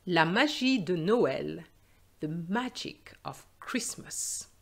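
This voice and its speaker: Slow-paced woman teacher reading aloud. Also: on the low side, animated, vocal fry, fairly bright tone. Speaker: French teacher and storyteller. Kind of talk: slow, clear story reading for language learners.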